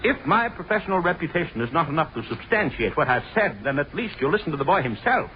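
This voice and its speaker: An agitated professor in a vintage radio drama, talking fast in a high voice. He is formal, urgent and emphatic. The old recording is muffled and noisy. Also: big pitch swings. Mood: pleading. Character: agitated male university professor, insistent and urgent. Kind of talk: 1950s radio drama acting.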